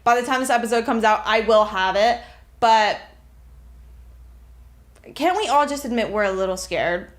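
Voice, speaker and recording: A woman's voice: a little high, moderate pace, pauses often. A young American woman co-hosting a podcast, chatting casually in a brash, joking, sweary way. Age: young adult. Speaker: podcast co-host. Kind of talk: casual comedic chat.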